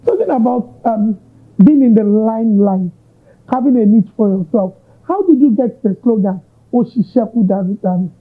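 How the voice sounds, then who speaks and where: A man's voice: high-pitched, moderate pace, husky. A Nigerian man hosting an interview, asking long, winding questions with Yoruba asides. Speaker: interviewer, host. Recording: tinny narrow-band audio.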